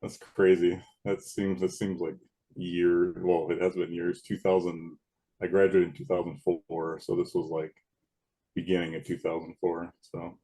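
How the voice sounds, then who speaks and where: A man with a very deep, big booming voice chats as a podcast guest. He is in his late thirties and talks in a loose, laid-back way, stopping and restarting as he remembers. He sounds relaxed and unhurried. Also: slightly rough, rough recording. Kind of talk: casual chat.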